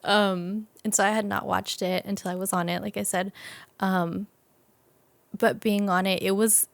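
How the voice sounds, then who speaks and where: A woman's voice: mid-range voice, flat delivery, normal speed, gravelly, no echo. An American woman talking casually and informally as a guest in a conversational interview. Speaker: podcast guest, actress and creator.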